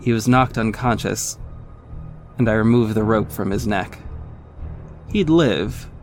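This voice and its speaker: A man's voice: fairly low pitch, measured pace, faint music behind, close to an everyday voice. A man playing a confident young vigilante who narrates his own exploits in a wry, smug way in an audio drama. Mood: focused, descriptive. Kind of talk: scripted first-person audio drama narration.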